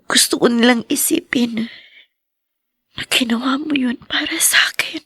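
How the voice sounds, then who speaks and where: A woman's voice: high voice, a little slow, breathy edge, no room sound, clean studio sound, heavily acted. An actress playing a young woman named Danny who cries and whispers, mourning her late mother in a hospital room. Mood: grieving. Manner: soft tearful whispering. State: crying. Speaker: lead character. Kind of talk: dubbed TV drama acting.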